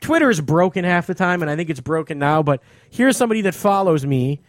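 High-pitched man chatting, explaining insistently. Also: animated, fast, dry recording, studio quality. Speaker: radio show host and comic. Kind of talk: casual podcast chat.

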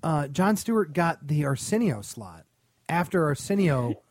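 A man's voice: a little high, sing-song, measured pace. A man co-hosting a podcast in casual, conversational chat. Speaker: podcast co-host. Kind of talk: casual chat about television.

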